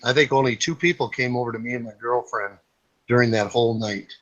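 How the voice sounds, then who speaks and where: A man's voice: mid-range voice, quick pace, a bit bright, muffled recording. Fifties guy on a group call, blunt, swears a lot, tells stories. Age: 50s. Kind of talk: casual late-night group chat. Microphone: online group video call.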